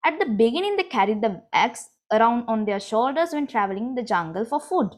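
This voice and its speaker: A woman giving a prepared class presentation, reading clearly and steadily. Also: fairly high pitch, very animated. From Sri Lanka. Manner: reads from a script, clear and measured. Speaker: student presenter.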